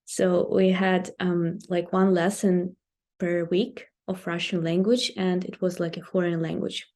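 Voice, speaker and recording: A woman from Ukraine, a native Ukrainian speaker with a Ukrainian accent, answering interview questions hesitantly with many ums and pauses. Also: lowish voice, level tone, a little mellow. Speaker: guest, Ukrainian language teacher.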